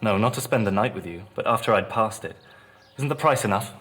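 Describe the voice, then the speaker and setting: A man playing a cool, scornful and insistent gentleman libertine in a dramatized memoir, speaking formally and sardonically. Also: fairly low pitch, animated, fairly quick, soft background music. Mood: cold, accusing.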